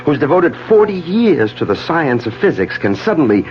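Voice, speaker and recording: Rational doctor, agitated and formal, lively swooping intonation, dull vintage radio drama recording. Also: male voice, a little high, moderate pace. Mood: troubled, incredulous. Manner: stammering when alarmed, formal. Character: skeptical scientist doctor, agitated, earnest, rational man. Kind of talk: 1970s radio drama acting. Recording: dull 1970s radio broadcast, faint music.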